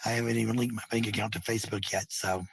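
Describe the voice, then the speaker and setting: A man's voice: on the low side, steady tone, very fast, a little hiss or hum. A middle-aged man talking casually in a rambling monologue, blunt and defensive, with some swearing. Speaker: parent talking about family life.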